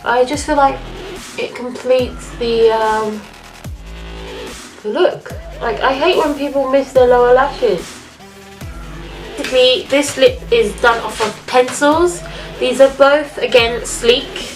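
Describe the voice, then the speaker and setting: A woman's voice: high-pitched, fairly even intonation, takes it slowly, pauses often. A woman vlogger talking casually through her makeup routine, sounding nasal from a cold. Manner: chatty, talks while applying makeup. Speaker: beauty vlogger. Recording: echoey room with music behind.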